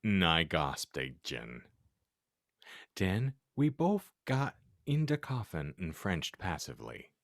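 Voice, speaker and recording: Male podcast co-host, low and slow but highly animated, reading bad prose for laughs and cracking up. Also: no room sound, studio quality. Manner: stumbles over misspelled text, breaks into laughter. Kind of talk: comic dramatic reading of bad fan fiction.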